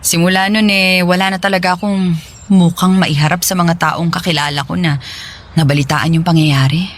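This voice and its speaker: A woman playing a gentle, forgiving friend in a radio drama, talking in a casual, reassuring way. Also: fairly low pitch, steady tone, normal speed, close to an everyday voice. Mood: rueful. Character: gentle, forgiving female friend, once publicly shamed. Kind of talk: Filipino radio drama dialogue.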